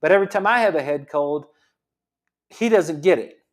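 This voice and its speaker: Middle-aged guy with a bit of a high voice, chatty health talk, lots of restarts. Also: normal speed. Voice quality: rambling, many restarts. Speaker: chiropractor host. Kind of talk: casual health talk monologue.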